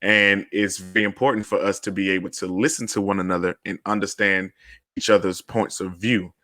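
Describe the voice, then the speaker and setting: A man hosts a panel in a fairly low voice, recorded dry with no room sound. He explains points deliberately and emphatically as he moderates the discussion. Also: little pitch movement, bright, forceful tone, no room sound. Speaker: panel host.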